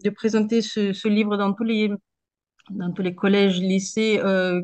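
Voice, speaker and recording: Slow-talking woman from Moldova, halting delivery. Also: mid-range voice, flat delivery, a little mellow. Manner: halting, searching for words. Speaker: guest speaker, association founder. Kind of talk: public debate interview, spontaneous speech.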